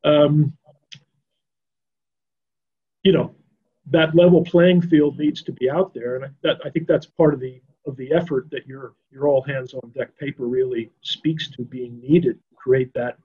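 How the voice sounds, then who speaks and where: An older man speaking off the cuff on a video call, measured and halting, repeating words as he thinks. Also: mid-range voice, steady tone, slightly rough. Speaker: panelist in a business discussion. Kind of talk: unscripted conference discussion. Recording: slightly dull video-call audio.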